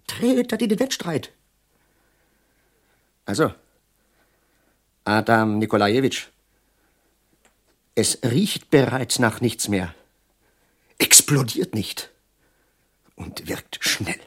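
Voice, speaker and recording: An actor playing a bitter, sardonic middle-aged professor prophesying doom in a German radio drama, with a husky, declamatory delivery. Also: male voice, mid-range voice, big pitch swings, takes it slowly, pauses often. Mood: ominous, intense. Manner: declamatory, sardonic, lingering on words with sudden hushes.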